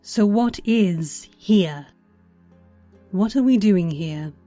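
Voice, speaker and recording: A woman playing a loyal, earnest knight in a fantasy audio drama, with deliberate, formal phrasing. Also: mid-range voice, expressive, dark tone, dry recording, soft background music. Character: loyal, earnest female knight, devout and measured.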